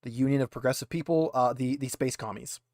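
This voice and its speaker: A man's voice: medium pitch, fast, darkish tone, no room sound, studio quality. A man running a tabletop roleplaying game, narrating scenes in a casual, conversational way. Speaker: game master.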